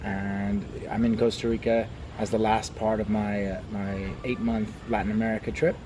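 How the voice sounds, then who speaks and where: A man's voice: lowish voice, moderate pace, background noise. A young man from Hong Kong, a former travel agent, telling travel stories in a casual interview. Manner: relaxed storyteller, animated when telling anecdotes.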